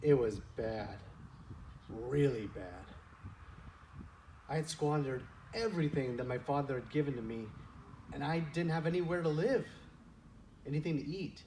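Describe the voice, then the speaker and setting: A man playing a humbled, yearning prodigal son in a church reader's theater skit, telling his story earnestly with dramatic pauses. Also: medium pitch, darkish tone, natural voice. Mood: regretful. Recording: distant mic, noisy room.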